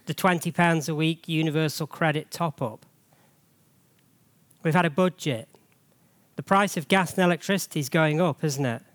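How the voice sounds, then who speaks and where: A man's voice: on the high side, a bit bright, no echo. A man with a cold, losing his voice, giving a chatty, jokey church sermon full of rhetorical questions.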